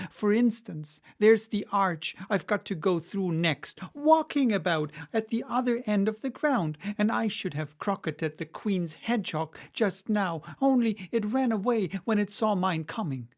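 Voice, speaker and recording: A man's voice: sits high, lively intonation, measured pace, hardly any pauses, voice pushed up. A man voicing a curious, polite young girl from a storybook, plain-spoken and earnest. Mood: flustered.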